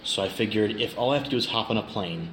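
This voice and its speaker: American guy, middle aged, lowish voice, jokey interview guest, echoey noisy recording. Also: fast, a bit bright. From Kansas, United States. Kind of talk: casual conversational interview. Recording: roomy, clearly noisy location recording.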